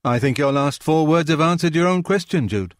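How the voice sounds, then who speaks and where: Opinionated bearded landlord, read by a man in a raised voice; audiobook figure, gruff and quick-tempered. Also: a little high, animated, no room sound, studio quality, voice pushed up, close to an everyday voice. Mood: cynical. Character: blunt bearded male pub landlord, opinionated.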